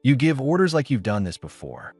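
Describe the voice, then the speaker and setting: A male narrator acting a weary mother, guarded and stubborn, answering curtly. The audiobook delivery has very mobile pitch, with faint music underneath. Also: very animated, brisk, darkish tone, slightly rough, dry recording. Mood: guarded, tense. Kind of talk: audiobook character dialogue.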